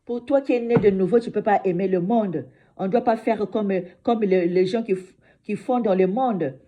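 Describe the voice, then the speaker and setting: A woman preaching an informal sermon, often adding "hein" and addressing her brothers and sisters, slowing down to read scripture; French is not her mother tongue. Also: medium pitch, warm tone. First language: another mother tongue. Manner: repeats "mes frères et sœurs" and "hein" often; slows down for scripture reading.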